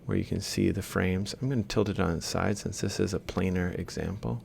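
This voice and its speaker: A man lecturing in a deep voice heavy with vocal fry. He explains things methodically, one step at a time, as if thinking aloud while he writes. There is some rumbly noise behind him. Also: fairly even intonation, a little fast, a little mellow. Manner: explanatory, step by step, reciting values piecemeal. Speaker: university lecturer. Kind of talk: instructional screen-capture lecture, thinking aloud while writing.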